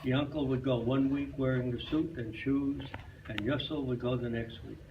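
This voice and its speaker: A man's voice: medium pitch, fairly even intonation, normal speed, lo-fi sound. Man reading a story, distant mic. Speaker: reader in a reader's theater. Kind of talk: live storytelling, reading aloud to an audience. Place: clubhouse hall, live performance. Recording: distant mic in hall, faint noise.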